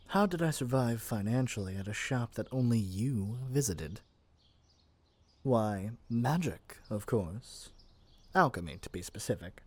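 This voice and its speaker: A man's voice: mid-range voice, a little mellow, dry recording, close to an everyday voice. Man acting out a sweetly possessive young witch, close and dry. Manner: theatrical, coaxing, playful, stammers when pleading. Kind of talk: scripted ASMR roleplay addressed to the listener.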